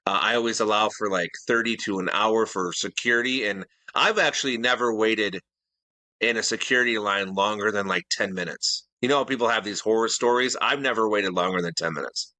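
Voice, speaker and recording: Middle-aged guy cohosting a podcast, low-ish bright voice, keeps restarting, dry mic. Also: fairly quick, dry recording, low-quality recording. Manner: earnest, detail-minded, with frequent restarts. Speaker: podcast co-host. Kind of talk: casual chat.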